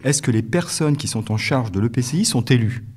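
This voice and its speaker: A man's voice: medium pitch, expressive, fairly quick, a little mellow, breathy edge. A man hosting an educational podcast, putting prepared interview questions to his guest. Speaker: podcast host asking questions.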